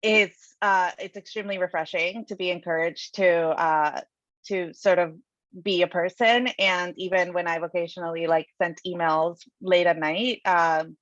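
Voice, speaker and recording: A woman's voice: lowish voice, steady tone, moderate pace, a bit bright, dry recording. A woman from Colombia, a native Spanish speaker, speaking candidly and hesitantly as a panelist on a video call. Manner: hesitant, many fillers and restarts, candid. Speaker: panelist, senior associate. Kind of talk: casual panel talk. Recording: dull dry video call audio.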